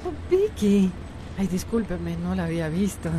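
An independent woman worn by a divorce in a Latin American radio soap. Her voice sits on the low side for a woman. There is clear background noise around her. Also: sing-song, moderate pace. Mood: friendly, helpful. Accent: Latin American Spanish. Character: Amanda, independent, distressed woman going through divorce. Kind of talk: radio soap opera acting.

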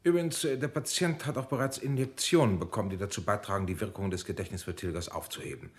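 An actor playing a detached, courteous army major and interrogation doctor in a radio drama, brisk, clinical and unruffled. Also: male voice, on the low side, lightly acted. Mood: detached, urbane.

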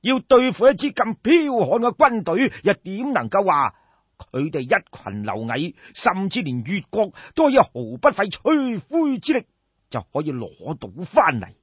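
Man acting sceptical, husky court minister in echoey room. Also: sits high, very animated, measured pace, full character voice. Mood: challenging, doubtful. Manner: formal, questioning, challenging. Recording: echoey room, slightly dull sound.

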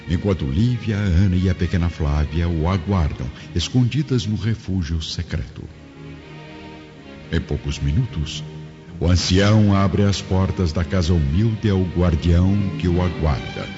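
A man narrating a radio drama from a script in a grave, literary, deliberate manner. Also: low voice. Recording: dull lo-fi audio, music behind.

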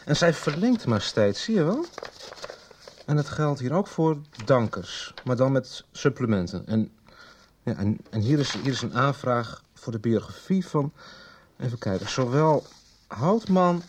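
An actor in a radio soap playing a chatty, conspiratorial library worker with a husky voice and halting, repetitive delivery. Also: male voice, medium pitch, expressive, slight background noise, lightly acted. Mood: puzzled, explaining. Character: chatty, conspiratorial male library worker poring over records. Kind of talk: 1990s radio soap acting.

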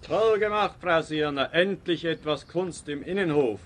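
A man acting a pretentious art lover in a radio comedy. The voice is fairly high for a male and gushes with admiration. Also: a little slow, lightly acted. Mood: rapturous. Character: gushing, pretentious male art-loving neighbour.

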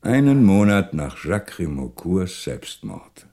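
An actor playing a shrewd, teasing police inspector in a German radio crime drama, brisk and commanding. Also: male voice, sits low, sing-song, dark tone, full character voice. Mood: brisk, intrigued.

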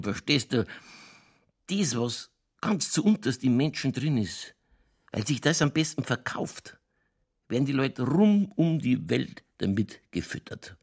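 An actor playing a middle-aged, drunken, ranting Bavarian police chief in a radio crime drama, rambling and crude in a Lower Bavarian accent. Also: male voice, a little mellow, breathy edge, full character voice. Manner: rambling, folksy, crude, tipsy.

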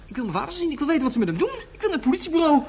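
Casting: worried, stubborn young boy, sci-fi radio play; very rapid, urgent delivery, slight background noise. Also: high voice, very animated, a little mellow. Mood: urgent, pleading. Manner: insistent, breathless rush of questions and pleas. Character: young boy, insistent, worried about his father. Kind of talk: Dutch science-fiction radio play acting.

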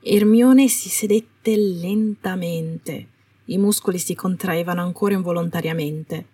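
A woman's voice: on the low side, a little slow, warm tone, breathy edge. A woman reading a scripted story aloud as a dramatic narrator. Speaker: podfic narrator. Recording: roomy, distant microphone.

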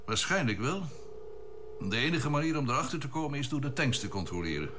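An actor playing an earnest, blunt spaceship engineer in a science fiction radio drama, excitable under pressure, with halting, emphatic phrasing. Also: male voice, medium pitch, noisy background, natural voice. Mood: serious, puzzled.

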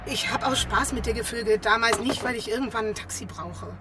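A woman acting as a stubborn, brash carpenter with a high-pitched voice. She talks bluntly in slang and curses freely, in a naturalistic radio play. Vehicle noise is clearly audible around her. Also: steady tone, a little fast, natural voice.

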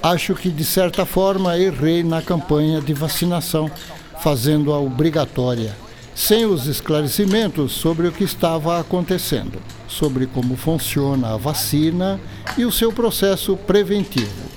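A man's voice: on the high side, animated, moderate pace, a little mellow, lightly acted. An actor playing a courteous, reflective male doctor and scientist with a didactic manner and a Brazilian accent in an educational radio drama. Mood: reflective, explanatory.

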